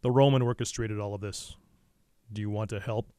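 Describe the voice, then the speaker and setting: An actor playing a grim, stern vigilante detective in a noir radio drama, terse and brooding. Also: male voice, fairly low pitch, fairly even intonation, a little fast, mellow, lightly acted.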